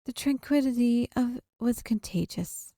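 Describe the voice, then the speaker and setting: A woman's voice: a little high, expressive, unhurried, warm tone, no room sound, clean studio sound. A woman streaming a visual novel, reading its narration aloud expressively and sometimes correcting herself mid-sentence. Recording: background music under the voice. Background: game music.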